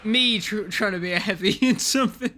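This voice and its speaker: A man's voice: sits high, brisk, sharp tone, slight background noise. A man co-hosting a film commentary in casual chat, with a giggly, mocking manner. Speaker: commentary co-host.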